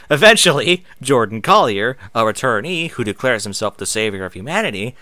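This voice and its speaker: A man's voice: on the low side, pushed and bright, no echo. A man reading aloud in a casual way, sometimes stumbling and slipping into off-script asides. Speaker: online reader and streamer.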